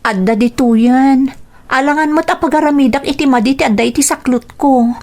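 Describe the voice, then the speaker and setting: A woman playing a gentle, troubled young runaway daughter in a radio drama, plaintive and confiding, with a Filipino accent. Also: high voice, normal speed. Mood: confessing. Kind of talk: radio drama acting and narration.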